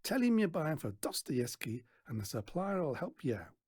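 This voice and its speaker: A man's voice: on the high side, very animated, moderate pace, a little mellow, breathy edge, no room sound, studio quality, voice pushed up. Man playing an exasperated, swooping fairground stallholder. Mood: offhand. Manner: emphatic, folksy, patronising. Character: brisk, exasperated older fairground stallholder mentoring a lad.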